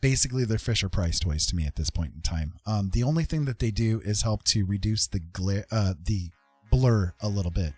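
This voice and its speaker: Man, lowish pitch, husky texture, casual streamer chat, explanatory manner, faint music behind. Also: a little mellow. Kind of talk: casual livestream chat with viewers.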